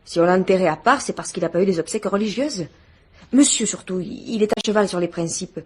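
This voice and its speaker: A woman's voice: medium pitch, fast talker, noisy background, close to an everyday voice. An actress playing a nervous, gossipy housemaid in her thirties in a French radio mystery, hesitant and confiding. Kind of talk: French radio play acting.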